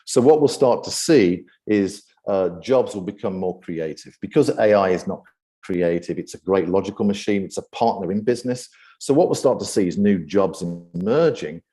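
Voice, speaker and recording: A British man with a low voice presents to an audience as the event's host. His tone is mellow and relaxed. He speaks off the cuff, stalling now and then on repeated words before pushing on. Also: moderate pace. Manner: hesitant, often repeating words before going on. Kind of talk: unscripted presentation talk.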